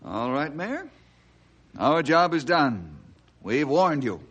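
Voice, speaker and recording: A man playing a calm, firm, law-abiding ranger leader in a radio drama, measured and matter-of-fact. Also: a little high, husky, dull audio, heavily acted. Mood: resigned calm.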